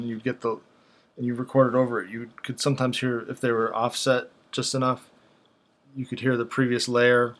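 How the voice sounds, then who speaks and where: A man giving casual, unscripted commentary as a video host, speaking haltingly as he thinks aloud. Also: medium pitch, a bit bright.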